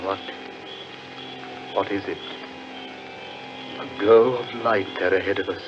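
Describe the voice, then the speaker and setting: A man's voice: on the low side, steady tone, takes it slowly, background noise. An actor playing a stubborn, rattled man who wants rational explanations, earnest and melodramatic in 1940s radio horror style. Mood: shaken. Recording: muffled 1940s radio recording with music.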